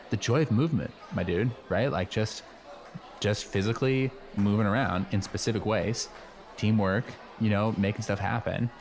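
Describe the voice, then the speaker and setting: A man's voice: lowish voice, darkish tone, a little hiss or hum, lightly acted. A man playing a laid-back, chummy teenage schoolboy in an improvised radio play, rambling in casual slang.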